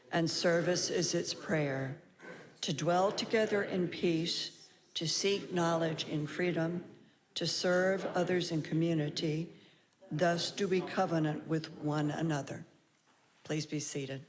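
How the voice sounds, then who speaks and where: A woman with a somewhat low voice leads a congregation through its affirmation and weekly announcements. She reads in a measured, formal way into a lectern mic in a sanctuary. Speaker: worship service leader. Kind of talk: congregational welcome and liturgy.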